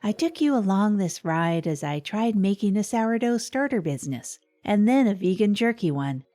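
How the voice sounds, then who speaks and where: A woman's voice: mid-range voice, animated, normal speed, warm tone, close to an everyday voice. Woman acting as a wry small-town radio host lady, rambly and hesitant, voice moves around a lot, music in it. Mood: wry, reflective. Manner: hesitant, rambling, self-deprecating asides. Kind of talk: scripted comedic radio monologue.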